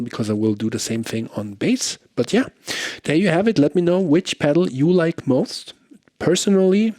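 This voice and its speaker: A man's voice: mid-range voice, a little mellow, no echo. A man giving a casual product demo as a guitarist, thinking aloud in an informal way. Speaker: guitarist reviewing effects pedals.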